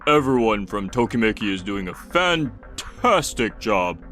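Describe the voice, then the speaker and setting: Man acting low-voiced, measured company boss. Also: sing-song, a bit bright, soft background music. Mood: pleased. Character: measured, businesslike male company boss, middle-aged or older. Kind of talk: dubbed comic drama.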